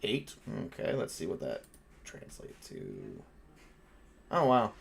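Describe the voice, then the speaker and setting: A man's voice: deep voice, huge pitch swings, slow, creaky, husky. A man running a tabletop roleplaying game, narrating and joking casually with the other player.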